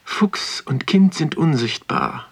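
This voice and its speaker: A man playing a brooding museum visitor in a radio drama, narrating his observations in a deliberate, solemn literary monologue. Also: on the high side, big pitch swings, gravelly, breathy edge, heavily acted. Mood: solemn, contemplative. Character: brooding male museum visitor musing on dead exhibits.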